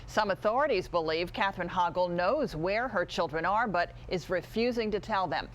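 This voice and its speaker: A woman anchoring a morning TV newscast, reading headlines and introducing colleagues in a brisk, bright manner. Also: on the low side, animated, highs cut off, slight background noise. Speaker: news anchor. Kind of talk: scripted news reading.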